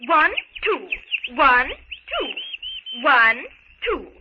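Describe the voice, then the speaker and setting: A woman playing a gentle, eager young American in an old radio serial, speaking in simple teaching phrases on a muffled recording. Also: high voice, big pitch swings, extremely slow, background noise, heavily acted. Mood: bright, encouraging. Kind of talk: 1930s radio serial acting.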